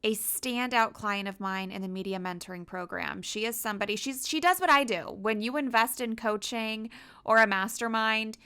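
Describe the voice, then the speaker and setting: Bright, crisp-voiced woman podcast host. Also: on the high side, normal speed. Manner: enthusiastic, promotional. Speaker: podcast host and media coach. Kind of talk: upbeat podcast interview.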